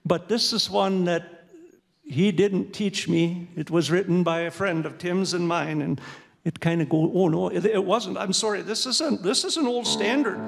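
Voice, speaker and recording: A man's voice: sits high, normal speed, vocal fry. A man in his sixties joking with a concert audience from the piano, folksy and full of fillers. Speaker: singer and entertainer. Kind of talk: chatty concert patter between songs. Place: church sanctuary, indoors. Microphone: stand mic at the piano. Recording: long church reverb, piano behind.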